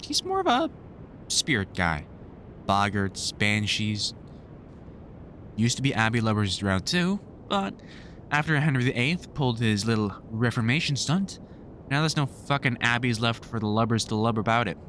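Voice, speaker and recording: A man playing a weary, kindly vampire hunter in an audio roleplay, talking to the listener in a halting, informal way with dry humour. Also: mid-range voice, sing-song, fairly bright tone, a little hiss or hum. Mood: dryly informative.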